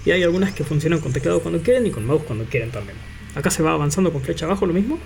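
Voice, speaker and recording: A man giving an informal software demonstration, improvising and thinking aloud as he presents. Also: a little high, expressive, rapid-fire, creaky, a little hiss or hum. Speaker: tutorial presenter.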